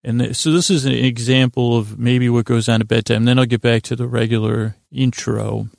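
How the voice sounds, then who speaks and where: Gravelly, rambling man telling bedtime monologue. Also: medium pitch, steady tone, a little mellow, no room sound, clean studio sound. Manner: halting, repetitive, digressive, self-interrupting. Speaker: bedtime podcast host.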